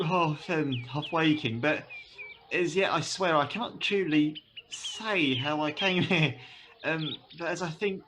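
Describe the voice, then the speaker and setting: Dazed young lover played by a man, higher-pitched, halting, hesitant radio Shakespeare. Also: a bit bright, slight background noise. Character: bewildered, drowsy young male lover.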